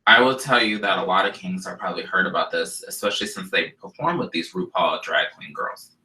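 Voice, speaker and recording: Brief: male co-host, very bright and sharp tone, lively pitch, a little nasal and stuffed up, recorded in a roomy space. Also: a little fast. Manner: animated, chatty, interjecting. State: congested, slightly nasal from illness. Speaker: co-host, drag performer. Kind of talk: casual chat. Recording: roomy echo.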